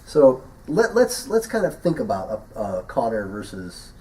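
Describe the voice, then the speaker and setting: A man's voice: medium pitch, big pitch swings, measured pace, mellow. A man co-hosting a podcast in casual chat, getting over the flu and sniffling, rambling and laughing at his own stories. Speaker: podcast co-host. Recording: voice a bit far from the mic, faint hiss.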